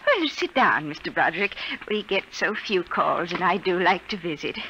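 A woman's voice: on the low side, lively intonation, moderate pace, sharp tone. An actress playing a genteel, insistent old grandmother with a sinister side in a 1950s radio drama. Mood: sweetly insistent. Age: older. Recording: muffled, noisy old radio recording.

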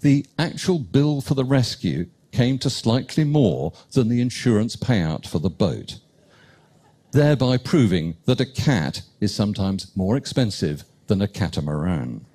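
Wry man telling an anecdote, husky and mellow. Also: lowish voice, unhurried. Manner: lively, wry anecdote delivery. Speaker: cruise ship lecturer. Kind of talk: storytelling lecture.

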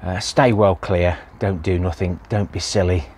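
Plain-spoken man with a lowish voice. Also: steady tone, normal speed, a little mellow, slight background noise. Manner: plain-spoken, many "uh" fillers. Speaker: allotment gardening vlogger. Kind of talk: casual vlog narration. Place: outdoor allotment, in and around a greenhouse.

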